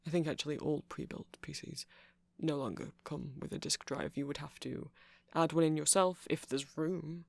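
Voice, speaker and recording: A man's voice: on the high side, fairly even intonation, normal speed, creaky, hardly any pauses, no echo. A man playing a nerdy, awkward, affectionate vampire, rambling hesitantly in an ASMR roleplay. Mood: earnest, rambling.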